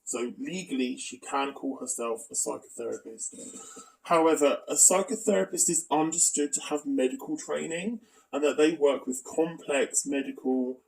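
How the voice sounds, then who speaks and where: A man's voice: medium pitch, normal speed, creaky, recorded from a distance, low-quality recording. A man giving critical, explanatory commentary as a video commentator.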